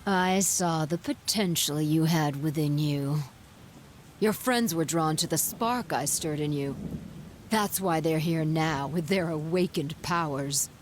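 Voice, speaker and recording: A woman voicing a haughty, scornful goddess villain in a video game cutscene, speaking in a measured, condescending and theatrical way. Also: deep voice, a little hiss or hum. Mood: coolly amused. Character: haughty, scornful goddess, coolly amused villain behind it all.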